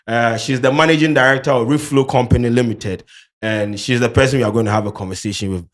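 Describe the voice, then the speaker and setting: A man with a Ghanaian English accent hosts a studio interview and slips into Pidgin now and then. His voice sits at a middle pitch, and he sounds lively and playful as he asks his questions. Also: fast talker. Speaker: podcast host. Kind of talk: casual interview chat.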